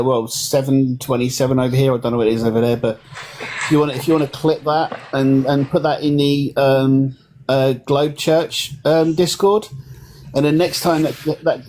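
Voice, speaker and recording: A man speaking in a halting way, full of fillers and restarts, at a middle pitch. A faint noise hums behind his voice. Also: a little mellow. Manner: hesitant, halting. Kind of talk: live stream group chat.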